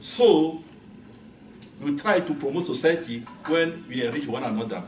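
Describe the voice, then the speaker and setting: A man from Benin whose first language is Ewe, speaking English as a guest lecturer and answering questions, often pausing and repeating words as he searches for phrasing. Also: fairly high pitch, animated. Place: lecture hall. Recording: echoey hall, voice from far off, faint noise.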